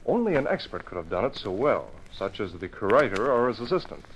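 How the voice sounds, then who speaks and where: Low-pitched investigator for vintage radio mystery; composed, decisive, explanatory. Dull, noisy old recording. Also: male voice, sing-song, fairly quick. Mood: explaining, decisive. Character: calm, decisive man solving a museum murder. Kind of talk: old-time radio drama acting.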